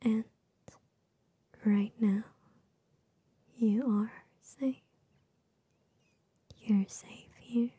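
A young woman giving soothing ASMR affirmations in a soft, breathy whisper, gentle and repetitive, very close to the microphone. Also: fairly high pitch, fairly even intonation, drawn-out words, pauses often, dry recording. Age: young adult. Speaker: ASMR host. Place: small bedroom with gaming setup, indoors. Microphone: large microphone very close to the mouth.

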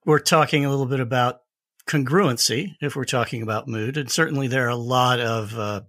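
A man's voice: medium pitch, a little fast, fairly bright tone, no room sound, studio quality. A man speaking as a podcast guest, explaining things thoughtfully in a conversational expert discussion.

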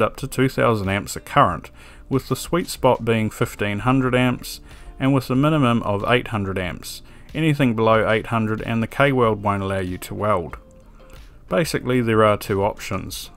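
A man giving a calm, methodical scripted voice-over for an electronics build tutorial, with a dry sense of humor. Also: fairly low pitch, gravelly. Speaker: electronics DIY video maker. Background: soft background music.

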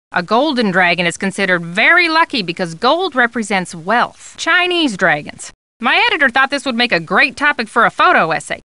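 A woman's voice: on the high side, bright, forceful tone, no echo. An actress playing a chatty, scatterbrained woman photojournalist who gushes and rambles, in a video game. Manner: gabby, gushing, rambling. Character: chatty, warm, scatterbrained woman photojournalist, easily carried away. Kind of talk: video game character dialogue.

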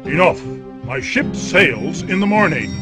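A man's voice: on the high side, very animated, measured pace. A man voicing a pompous, hearty middle-aged king with hammy, declamatory delivery in a cartoon game cutscene. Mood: self-important. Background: loud music.

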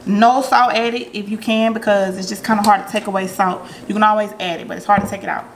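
A woman's voice: medium pitch, fast. A woman talks through a recipe in her home kitchen, speaking loosely and directly to her viewers. Her voice sits mid-range, with plenty of ums and easy asides. The camera mic near the counter picks up a faint hum from the room. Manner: chatty, relaxed, many ums, talks straight to viewers. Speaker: cooking vlogger. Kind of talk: casual cooking tutorial.

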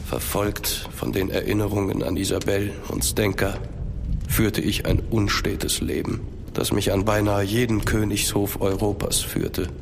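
A man's voice: lowish voice, little pitch movement, measured pace, gravelly, background noise. An actor playing a grave, weary French aristocrat, narrating his past formally and with melancholy in a German audio drama. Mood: grave, melancholic. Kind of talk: scripted first-person narration in audio drama.

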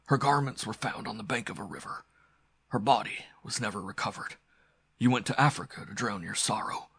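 A man voicing a dying, bitterly proud male aristocrat villain in a pulp audiobook, with a strained, gasping croak that drops into breathy whispers. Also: lowish voice, bright, forceful tone, exaggerated voice. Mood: strained, urgent. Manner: halting, strained, melodramatic. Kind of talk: audiobook character acting of pulp story.

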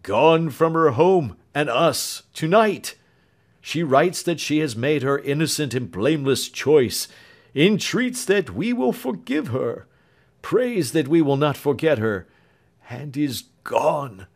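A man voicing a grieving older father who speaks slowly in a broken voice. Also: fairly high pitch, very animated, pitched above the performer's own voice, exaggerated voice. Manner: slow, halting.

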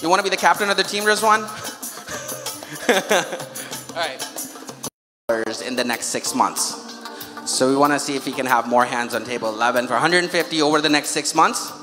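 A man's voice: on the high side, moderate pace, pauses often, over music. A man hosting a charity fundraiser, addressing the audience informally through a handheld microphone and putting questions to them. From Pakistan (Karachi). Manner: conversational, engaging the audience with questions. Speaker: charity event host and fundraiser. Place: large auditorium hall on stage. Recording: echoey hall PA, long reverb.

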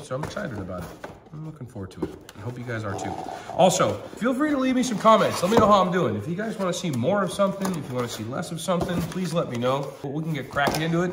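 A man in his thirties talks through a product review at a table at home, chatty and upbeat, with plenty of ums. His voice is a little nasal from a cold and sits at an average pitch. The room is echoey, with a faint hiss behind him.

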